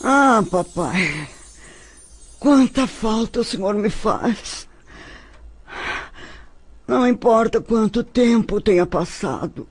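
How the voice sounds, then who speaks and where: An actress playing an ailing, grieving older woman in an audio drama, halting and tearful as she pines for her father. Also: mid-range voice, expressive, breathy edge. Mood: tearful nostalgia. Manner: halting, tearful, sighing delivery. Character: sick, grieving elderly daughter calling for her dead father.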